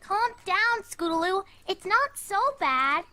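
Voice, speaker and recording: A woman voicing young cartoon fillies in a fan fiction reading, squeaky and childlike, with an earnest, plucky delivery. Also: expressive, slow, bright, forceful tone, heavily acted. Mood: consoling.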